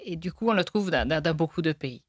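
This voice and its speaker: A woman's voice: low-pitched, expressive, a little fast, no room sound. Hesitant woman linguist, dry close sound. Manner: hesitant with restarts and light laughs. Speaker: linguist guest. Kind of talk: interview answers.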